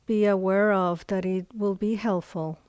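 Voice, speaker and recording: A woman leading a guided meditation as a teacher, speaking in short phrases with long, unhurried pauses. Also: mid-range voice, fairly even intonation, darkish tone, clear, resonant tone, dry recording.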